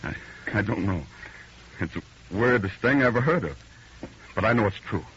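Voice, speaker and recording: A man's voice: fairly low pitch, lively intonation, moderate pace, a bit bright. An actor playing an agitated, insistent man haunted by a nightmare, in old-time radio drama style, by turns hesitant and grim. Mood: grimly certain. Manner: hesitant, then grimly insistent. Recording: muffled, faintly noisy vintage radio recording.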